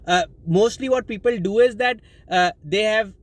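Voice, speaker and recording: A young man with a Pakistani accent and Urdu as his first language talks through study tips in a casual, rambling vlog. His voice sits high for a man, and he fills his talk with restarts and apologies. He records from the driver's seat of his car. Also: moderate pace, no room sound. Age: young adult. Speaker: student vlogger. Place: inside a car.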